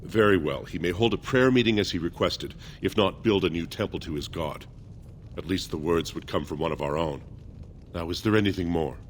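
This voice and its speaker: Fantasy game casting: male actor as dignified elderly dwarf archivist, deep, grave, measured and formal. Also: slight background noise, lightly acted. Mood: grave, reluctant. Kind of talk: video game character acting.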